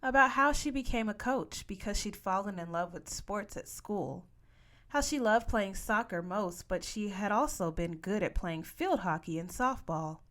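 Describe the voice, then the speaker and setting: A woman narrating a first-person story as an audiobook reader, with expressive delivery. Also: medium pitch, normal speed.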